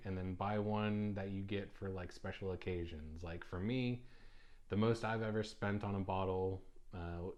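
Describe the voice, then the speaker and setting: A man's voice: fairly low pitch, darkish tone, highs cut off. A young adult man hosting a casual solo podcast, talking loosely with many ums, uhs and restarts. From United States. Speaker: podcast host.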